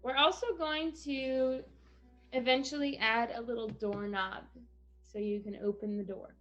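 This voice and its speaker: A woman presenting a casual step-by-step craft tutorial for children, speaking in an instructional way with pauses between phrases. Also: a little high, steady tone, a bit bright, muffled recording, soft background music. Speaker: craft presenter for a children's read-aloud project.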